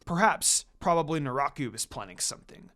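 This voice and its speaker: Male reader as polite young man, reassuring loyal friend, lively storybook dialogue. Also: on the high side, fairly quick, pitched below the performer's own voice. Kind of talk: dramatic story reading.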